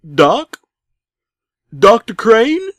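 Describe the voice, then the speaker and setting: A man's voice: very high voice, wildly swooping pitch, very slow, no echo, studio quality, pitched above the performer's own voice, full character voice. A man voicing an anguished middle-aged male caller in a cartoon parody, faltering and near sobbing. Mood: fearful, sorrowful.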